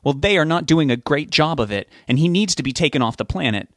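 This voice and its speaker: A man's voice: fairly high pitch, lively intonation, quick pace, fairly bright tone, no echo, voice pushed up. An earnest young man in an audiobook drama, acted by a male narrator. He sounds desperate and resolute, but stays polite. The recording is dry. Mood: heated. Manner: pleading, resolute.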